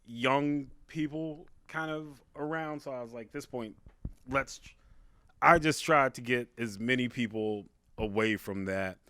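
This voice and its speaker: A man with a big voice, a podcast guest, telling a personal story in a casual, halting, conversational way. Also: mid-range voice, a bit bright, no room sound. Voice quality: big, carrying voice. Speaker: podcast guest, stand-up comedian.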